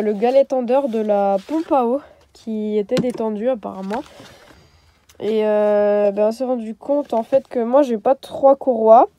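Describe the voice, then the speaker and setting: Young woman vlogger with a cold, hesitant casual chat on a phone mic, higher voice with a mellow tone. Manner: chatty, hesitant with many fillers. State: stuffy nose from a cold. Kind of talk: casual vlog chat to camera.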